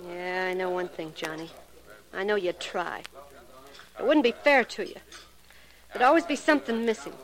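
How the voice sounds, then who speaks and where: A woman's voice: fairly low pitch, normal speed, long pauses, lightly acted, poor audio. A woman playing an unhappy, lovelorn young stage assistant, resigned and plaintive, in 1940s radio melodrama style. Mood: lovesick, resigned.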